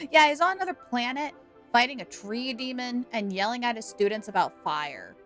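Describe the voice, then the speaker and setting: A woman's voice: a little high, normal speed. Playful woman livestreaming a game, music behind. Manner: playful, expressive reactions. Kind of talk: casual livestream chat and game commentary.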